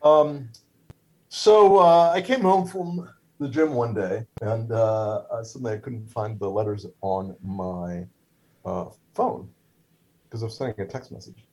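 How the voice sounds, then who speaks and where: A man's voice: lowish voice, steady tone, a little slow, a little mellow, poor audio. A middle-aged man whose first language is Hebrew, telling a story casually with many fillers, restarts and wry humor. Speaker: guest, stroke survivor.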